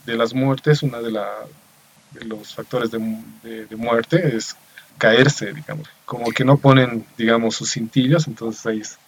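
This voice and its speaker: A man's voice: medium pitch, fairly even intonation, unhurried, breathy edge, low-quality recording. Rambling Bolivian man chatting about a film. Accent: Bolivian Spanish. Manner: rambling, many fillers and tag questions. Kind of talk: casual film review chat.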